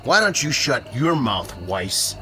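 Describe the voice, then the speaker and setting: A man's voice: medium pitch, sing-song, slow, pushed and bright, soft background music, full character voice. An actor playing a hot-headed, bigoted suburban man leading a panicky mob in a radio drama, belligerent and urgent. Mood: menacing.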